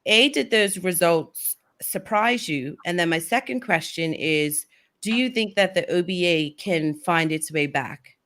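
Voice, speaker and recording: A woman hosts a talk show, asking her guest direct questions in a brisk way. Her voice sits a little low and is slightly strained from losing it. Her tone is plain and conversational. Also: expressive, a bit bright. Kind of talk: interviewing a guest.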